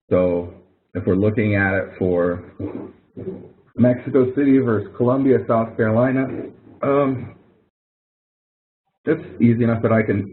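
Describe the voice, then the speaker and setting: A man with a fairly low voice walks students through their coursework in a relaxed, explanatory way, thinking aloud as he goes. The sound is narrow and phone-like, as if it came through a web call. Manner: casual, explanatory, thinks aloud while demonstrating. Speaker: instructor. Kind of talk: webinar walkthrough. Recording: phone-like narrow audio from a webinar.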